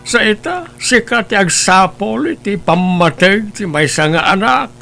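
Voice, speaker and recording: A man's voice: high voice, expressive, measured pace, vocal fry, bright, forceful tone, soft background music, full character voice. A man playing a stern old grandfather. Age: older. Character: elderly grandfather, gentle and scolding.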